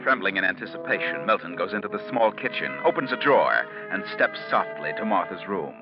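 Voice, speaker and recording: An actor as an ominous, measured storyteller narrating a crime tale in 1940s radio style. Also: male voice, sits low, lively intonation. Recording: muffled vintage 1940s radio recording. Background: dramatic music.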